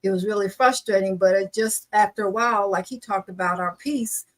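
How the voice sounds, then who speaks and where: A woman's voice: mid-range voice, fairly even intonation, a little fast, low-quality recording. A woman speaking as an interview guest, giving a casual, conversational account of her experience.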